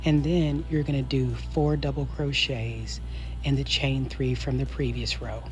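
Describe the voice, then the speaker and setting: A woman's voice: extremely low pitch, steady tone, a little slow, faint music behind. A woman who is losing her voice, patiently teaching a crochet pattern step by step, repeating each step and counting stitches aloud. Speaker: crochet instructor. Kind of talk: step-by-step tutorial.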